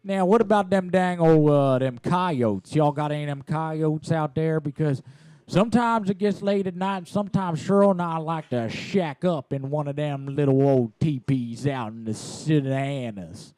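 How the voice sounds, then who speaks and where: A man's voice: on the high side, moderate pace, darkish tone, no echo, close to an everyday voice. A man playing a rambling, crude country husband with a Southern drawl on an improvised prank call. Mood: folksy, brash.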